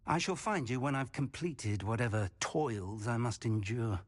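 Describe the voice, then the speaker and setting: An actor playing a courteous older professor in a video game, formal and measured with a wry, long-suffering manner. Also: male voice, mid-range voice, lightly acted. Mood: exasperated. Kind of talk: video game character acting.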